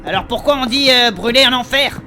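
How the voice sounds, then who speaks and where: A man's voice: very high-pitched, a little fast, bright, forceful tone, heavily acted. A man playing a good-natured, easily confused adventurer in a French fantasy comedy audio drama, speaking in a hesitant, colloquial way. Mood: chatty, naive. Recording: voice over background music.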